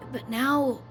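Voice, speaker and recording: A high, youthful boy's voice for a video game guard. He is loyal and apologetic, choosing polite words with some hesitation. Soft music plays behind him. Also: full character voice. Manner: hesitant, halting, polite and formal. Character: earnest young boy guard, loyal, deferential, apologetic. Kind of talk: scripted game dialogue.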